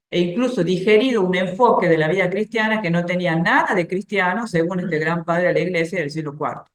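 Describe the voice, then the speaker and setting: Woman teaching in an echoey room. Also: lowish voice. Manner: conversational, with everyday examples and soft laughs. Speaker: catechist giving a talk. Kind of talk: informal faith talk, teaching from a book.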